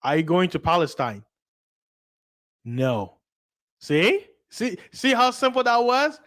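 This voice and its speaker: A man from Central Africa, a native Lingala speaker, talks to his livestream viewers with a slightly higher pitch than most men. He repeats points over and over, breaks off mid-word to restart, and keeps throwing rhetorical questions at the listener. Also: very animated, takes it slowly, a bit bright. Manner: repetitive and emphatic, many restarts and rhetorical questions. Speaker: livestream host. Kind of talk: casual livestream monologue.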